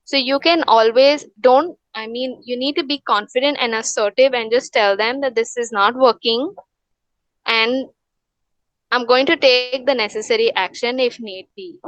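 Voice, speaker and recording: A woman from India, a wellness counsellor, calmly giving advice in a webinar, with many fillers and restarts. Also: on the high side, moderate pace, no room sound, muffled recording. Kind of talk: webinar Q&A answers.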